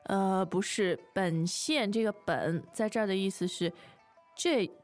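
A woman's voice: medium pitch, unhurried, faint music behind. A woman co-hosting a language lesson in a conversational teaching style, often agreeing and repeating key phrases.

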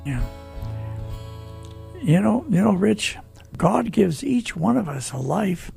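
An elderly man in his eighties with a weakened, raspy voice, chatting casually and reflectively as a radio host. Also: high voice, big pitch swings, slow pace, dark tone, faint music behind. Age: 80s. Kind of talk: casual on-air chat.

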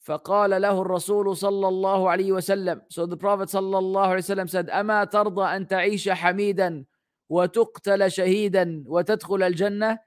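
A man's voice: sits high, little pitch movement, clean tone. A man giving an Islamic religious lesson as a teacher, moving between Arabic text and English translation. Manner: halting English delivery, rapid fluent Arabic recitation.

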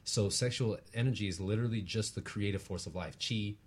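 A man with a fairly deep, husky voice talks as a guest teacher. He explains spiritual ideas earnestly and conversationally, often ending a thought with a quick tag question to check the listener follows. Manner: earnest, explanatory, often tags phrases with "right?". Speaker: guest, relationship practitioner and teacher.

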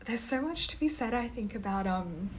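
A woman's voice: fairly high pitch, expressive, moderate pace. A woman poet chatting casually and reflectively about her practice, using academic vocabulary. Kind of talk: casual conversational discussion. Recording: clear background noise, ambient sound.

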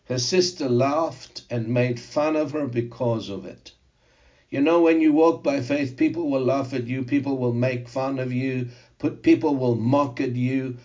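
An older man whose first language is Greek, preaching a sermon and telling personal testimony in a slow, emphatic way. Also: medium pitch, steady tone, darkish tone, dull audio. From Zimbabwe.

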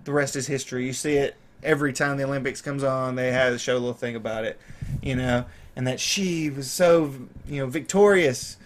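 A man in his thirties hosting a casual podcast chat, animated and quick to laugh as he tells sports trivia. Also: medium pitch, slight background noise. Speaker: podcast host.

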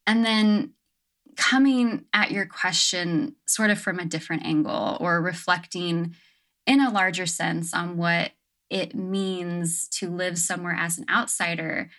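Reflective woman with lower voice. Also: fairly even intonation, a little slow, fairly bright tone. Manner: reflective, many fillers like "um" and "like". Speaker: guest. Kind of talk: casual interview conversation. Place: her home on an island.

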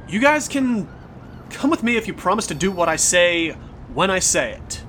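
A man's voice: high-pitched, sing-song, normal speed, fairly bright tone, a little hiss or hum. A man playing a sarcastic police officer who teases the people around him. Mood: firm. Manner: dry, sarcastic, teasing. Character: wry male cop escorting the journalists. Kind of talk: audio drama acting.

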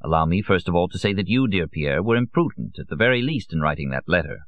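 A man voicing a soft-spoken, conciliatory aristocrat. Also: deep voice, expressive, fast talker, no echo, phone-quality sound. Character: soft, conciliatory, refined older count.

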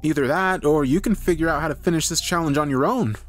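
A man's voice: fairly high pitch, fast, pitched above the performer's own voice, lightly acted. A man acts out a young woman in a suspense story, her voice lifted well above his own. She is panicky and impatient, speaking bluntly and defiantly over music. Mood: urgent.

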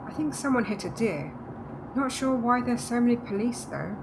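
A woman's voice: on the high side, lively intonation, moderate pace. A woman playing a practical, dryly teasing wife in a naturalistic audio drama. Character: practical, teasing, reassuring wife moving to the countryside. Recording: clearly noisy background ambience.

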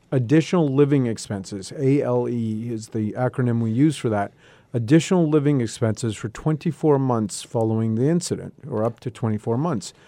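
A man who grew up around Boston, a real estate attorney, calmly explaining insurance claims as a guest on a radio talk show. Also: mid-range voice, dry recording. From Boston area, United States. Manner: measured, explanatory.

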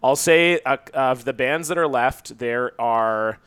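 Man, medium pitch, casual podcast host, loose sweary banter, very dry recording. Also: takes it slowly, a bit bright, clear, resonant tone, dry recording. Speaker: podcast host and quiz master. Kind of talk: casual chat, running a guessing game.

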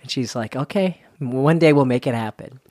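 A man's voice: mid-range voice, normal speed, a little mellow, no room sound, clean studio sound. A man in his late thirties from Salt Lake City, a wedding DJ chatting as a guest and telling playful stories. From Salt Lake City, Utah. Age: 30s. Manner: chatty, storytelling, playful, name-dropping. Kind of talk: casual chat.

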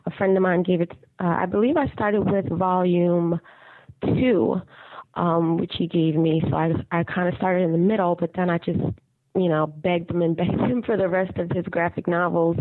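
A woman leaving a casual, rambling fan voicemail, hesitant with many ums, raspy from a cold. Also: on the low side, steady tone, no echo. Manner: hesitant, frequent ums and pauses. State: raspy from getting over a cold. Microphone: phone. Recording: muffled phone voicemail, narrow band.

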